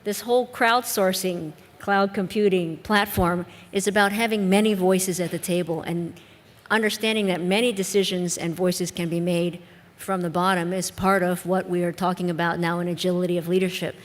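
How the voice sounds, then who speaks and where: A woman with a Midwestern American accent, an educator answering on a discussion panel in a measured, reflective way with frequent restarts. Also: lowish voice, fairly even intonation. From United States. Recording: long reverb of a conference hall.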